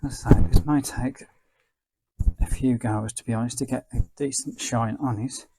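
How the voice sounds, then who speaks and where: A man giving a quiet, casual hands-on ASMR demonstration, murmuring to himself as he works and at times nearly whispering. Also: medium pitch, fairly even intonation, moderate pace, very noisy. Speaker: presenter of a restoration demonstration.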